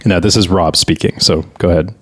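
A man's voice: deep voice, steady tone, a little fast, a little hiss or hum. A man hosting a comedy podcast, giving casual, joking critique in conversation. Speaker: podcast host. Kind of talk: casual comic critique and banter.

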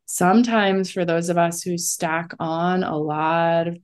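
Woman, astrologer guest, lowish pitch, reflective and casual, lots of "like". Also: steady tone, a little slow, smooth. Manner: reflective, often says "like". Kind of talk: casual conversational interview.

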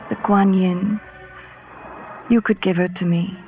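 Creaky-voiced sultry femme fatale. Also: female voice, mid-range voice, steady tone, slow. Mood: seductive, needling. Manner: languid, insinuating. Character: sultry, teasing femme fatale woman professor. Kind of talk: 1940s radio noir acting. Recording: muffled old radio recording with music.

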